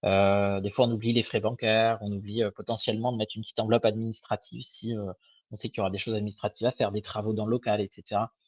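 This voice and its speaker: A young man with a slightly hoarse, husky voice walks viewers through a webinar in a friendly, informal way. He hesitates often and restarts his phrases. The sound is dull and lo-fi, like a web call, and very dry. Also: on the low side, level tone, quick pace, no echo. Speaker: microfinance advisor presenting a webinar. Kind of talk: casual live webinar presentation.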